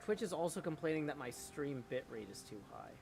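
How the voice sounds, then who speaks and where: A man hosting a live game stream in a casual, rambling way, with a creaky voice. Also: fairly high pitch, expressive, brisk, soft background music. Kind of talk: casual livestream chat.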